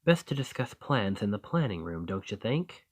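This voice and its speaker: A man's voice: fairly low pitch, very animated, moderate pace. A man playing a roguish bandit leader in an audio roleplay, confident and wry, with a folksy, teasing, drawling manner. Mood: amused, conspiratorial. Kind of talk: scripted roleplay acting addressed to the listener.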